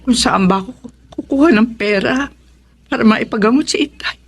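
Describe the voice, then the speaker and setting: A woman's voice: a little high, moderate pace, a little hiss or hum, close to an everyday voice. Woman acting an earnest, devout mother. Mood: troubled, firm. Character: devout, principled, devoted single mother. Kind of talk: Filipino radio drama acting.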